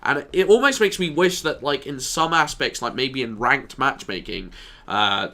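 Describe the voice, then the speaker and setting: A man's voice: mid-range voice, animated, normal speed, sharp tone. A man with a nasal voice, stuffy from allergies, giving a rambling, self-deprecating commentary over his gameplay. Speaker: gaming YouTuber.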